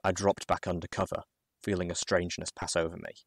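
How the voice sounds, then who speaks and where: A man with a low voice narrates a story in the first person. His delivery is dramatic and measured, with deliberate pauses between phrases. The recording is dry, with very little room sound. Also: level tone, no echo.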